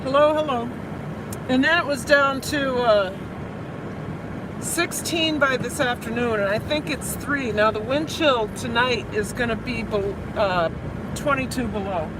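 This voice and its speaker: A woman's voice: on the high side, measured pace. A woman chatting casually on her daily video blog, feeling feverish after a flu shot, talking while driving on a winter road. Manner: chatty, rambling, laughs at herself. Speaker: vlogger. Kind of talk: casual chat. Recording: far from the mic, loud road noise.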